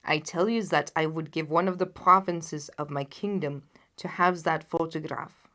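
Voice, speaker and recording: A woman's voice: deep voice, fairly even intonation, normal speed, pushed and bright. A woman voicing a haughty, anxious king in a storybook, speaking in a solemn and emphatic way. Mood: earnest, commanding. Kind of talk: dramatised audiobook reading.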